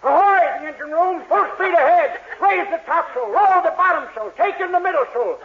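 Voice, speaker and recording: Casting: comic old salt, very high voice, barking orders, tinny vintage radio sound. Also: male voice, lively intonation, brisk. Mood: blustering. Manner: barking nautical commands, folksy sailor talk. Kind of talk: 1940s radio comedy acting. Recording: tinny, noisy 1940s radio recording.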